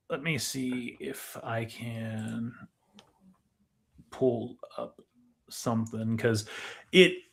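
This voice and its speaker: A man with a medium-pitched voice co-hosts a casual film discussion. He explains things step by step, thinking aloud and pausing as he works through each point. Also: fairly bright tone. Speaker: podcast co-host.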